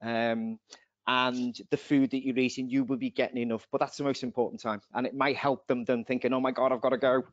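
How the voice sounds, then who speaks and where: A man's voice: mid-range voice, flat delivery, brisk, no room sound. A man giving rambling, chatty answers on a webinar, his voice slightly going. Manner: chatty, rambling, laughs at own jokes. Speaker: nutrition presenter. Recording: dull dry video call audio.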